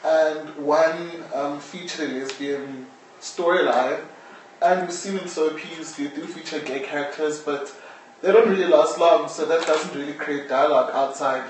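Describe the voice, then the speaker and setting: A man's voice: a little high, a little slow. A man with a South African accent explaining things as a guest in a live interview. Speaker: interviewee, audio drama maker. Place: echoey indoor space, live-streamed discussion. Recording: very echoey room, distant mic, faint noise.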